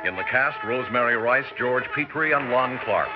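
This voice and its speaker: A man's voice: mid-range voice, normal speed. A man with an American accent reading scripted credits as a 1960s radio announcer. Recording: muffled 1960s radio recording. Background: music.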